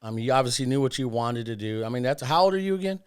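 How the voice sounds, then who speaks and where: A man's voice: mid-range voice, animated, rapid-fire, smooth. A man in his early forties hosting a podcast, speaking casually with lots of fillers and "man" and "dude". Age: 40s. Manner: loose, filler-heavy, says "man" and "dude". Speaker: podcast host.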